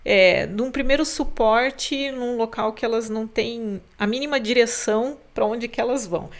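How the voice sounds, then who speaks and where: A woman hosting a podcast interview, speaking in reflective, drawn-out phrases with frequent restarts. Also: fairly high pitch. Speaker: podcast host. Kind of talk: conversational interview.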